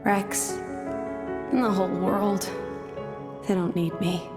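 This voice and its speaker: A woman voicing a gentle, resigned, self-effacing young woman in a video game. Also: medium pitch, fairly even intonation, takes it slowly, over music, close to an everyday voice. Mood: sorrowful.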